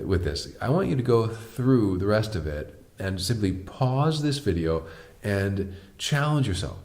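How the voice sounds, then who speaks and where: A man with a deep voice teaching a piano lesson, patiently explaining step by step and asking the viewer questions. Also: a little mellow. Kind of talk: online music lesson.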